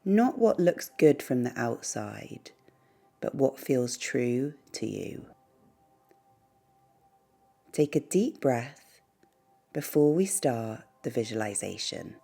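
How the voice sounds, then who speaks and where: A woman leading a guided meditation in a soothing, gentle, unhurried way, pausing between short phrases. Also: very deep voice, big pitch swings, gravelly. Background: background music.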